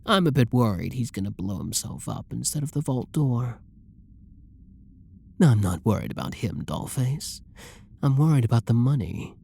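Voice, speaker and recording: A performer playing a flirty, dominant, possessive villain in an intimate ASMR roleplay, with a breathy, husky, teasing purr that often drops toward a whisper. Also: mid-range voice, animated, moderate pace, mellow. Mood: smug, conspiratorial. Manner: teasing, drawling, pet-name-laden purr.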